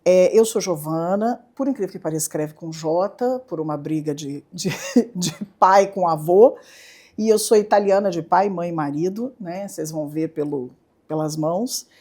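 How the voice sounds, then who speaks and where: A middle-aged woman neurologist from southeastern Brazil, guesting on a podcast with a Brazilian Portuguese accent and a lively, playful way of telling her story. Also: on the low side, moderate pace. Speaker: neurologist and university professor, guest. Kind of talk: casual interview chat. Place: podcast studio.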